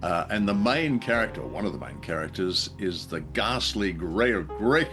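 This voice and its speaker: A man's voice: low voice, animated, normal speed, over music. A man chatting casually as a podcast co-host. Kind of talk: casual chat.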